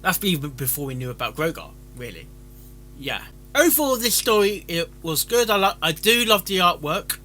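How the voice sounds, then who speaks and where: A man giving casual, rambling commentary, haltingly repeating and restarting his phrases. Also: a little high, sing-song, sharp tone, soft background music. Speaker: reaction video host reviewing fan fiction.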